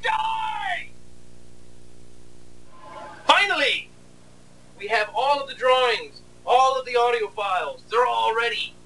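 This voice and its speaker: Very bright-voiced sarcastic man. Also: very high voice, normal speed, hardly any pauses. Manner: sarcastic, mock-complaining. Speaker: animator and video host. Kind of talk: casual behind-the-scenes narration. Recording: distant mic, faint background noise.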